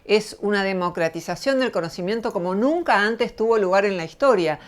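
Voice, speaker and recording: A middle-aged Argentine woman hosting her channel, giving a measured, thoughtful spoken essay. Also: medium pitch, lively intonation. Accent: Argentine Spanish. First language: Spanish. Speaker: channel host, lecturer. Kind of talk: reflective spoken essay to camera.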